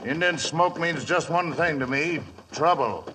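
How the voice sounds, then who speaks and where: A complaining outlaw henchman in a radio western. His voice is sharp and somewhat high, with a blunt, edgy delivery. Faint noise from the old broadcast sits around it. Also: measured pace, low-quality recording. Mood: uneasy. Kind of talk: 1950s radio western acting.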